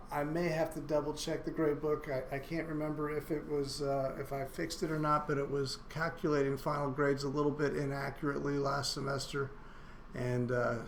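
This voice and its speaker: A male university instructor going through a course syllabus in a recorded online lecture, talking in a monotone with many halting fillers and restarts. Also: mid-range voice, slight background noise. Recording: roomy echo, faint room ambience.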